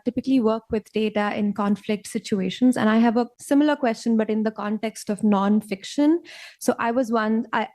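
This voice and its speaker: A woman's voice: medium pitch, mellow. Direct woman asking a question. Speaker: audience member, data worker. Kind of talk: question from the floor.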